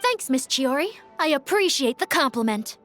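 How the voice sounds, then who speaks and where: Game casting: high, bouncy, eager reporter girl, music under. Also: lively intonation, normal speed, fairly bright tone. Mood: perky. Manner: perky, theatrical, upbeat. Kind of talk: video game voice acting.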